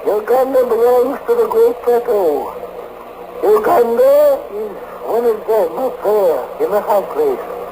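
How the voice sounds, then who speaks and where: An actor playing a gruff, fond old hermit with folksy, old-fashioned lilting speech in a vintage radio drama. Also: male voice, sits high, animated, measured pace. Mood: earnest, confiding. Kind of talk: 1940s radio acting. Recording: noisy old radio serial recording.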